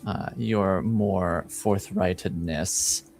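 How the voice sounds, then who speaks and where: A man playing a reserved, dignified noble in a tabletop fantasy game, speaking formally and deliberately in short, probing phrases. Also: low voice, gravelly, a little mellow, soft background music, heavily acted. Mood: cool, gracious. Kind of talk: improvised in-character tabletop roleplay.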